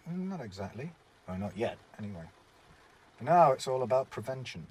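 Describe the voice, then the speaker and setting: A British man playing a seasoned surveillance officer in a radio drama, wry, measured and world-weary. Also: medium pitch, very animated, breathy edge, low-quality recording. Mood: explanatory.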